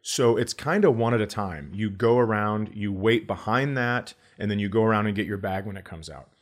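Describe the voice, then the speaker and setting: A middle-aged American man with a fairly low voice tells stories on a comedy podcast. His delivery is casual and sweary, and he slips into acted-out dialogue as he goes. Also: brisk. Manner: acts out dialogue, profane. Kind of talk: casual comic storytelling.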